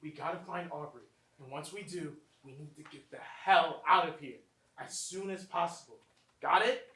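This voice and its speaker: An actor playing an uneasy young clubgoer narrating a horror tale in a theatrical, deliberate staged reading. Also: mid-range voice, bright, forceful tone, natural voice. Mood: urgent. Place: small theatre, recorded from a distance. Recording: distant roomy stage recording, faint noise.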